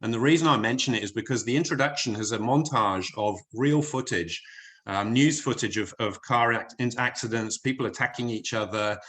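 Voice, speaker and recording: A man from the UK with an English accent softened by years in New Zealand, giving a chatty, filler-heavy wellness talk on a video call. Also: mid-range voice, brisk. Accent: English with a slight New Zealand tinge. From United Kingdom. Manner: chatty, hesitant, many fillers and restarts. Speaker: wellness presenter. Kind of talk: online corporate presentation.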